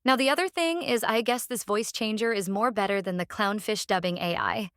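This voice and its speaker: A woman narrating a casual software tutorial, explaining step by step in a halting way and repeating phrases. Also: medium pitch, clean tone, dry recording, clean studio sound. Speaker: tutorial presenter.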